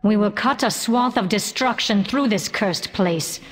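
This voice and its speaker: An actress playing a resolute female commander in a video game, briefing champions in a measured, formal way. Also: mid-range voice, close to an everyday voice. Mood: resolute, grave. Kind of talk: video game quest dialogue. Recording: long cavernous reverb.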